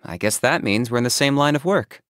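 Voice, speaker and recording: An actor voicing a stoic, businesslike young male saurian hunter in a video game, speaking in a measured, matter-of-fact deadpan. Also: mid-range voice, no echo, studio quality, close to an everyday voice. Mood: calm, dry. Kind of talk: scripted video game character monologue.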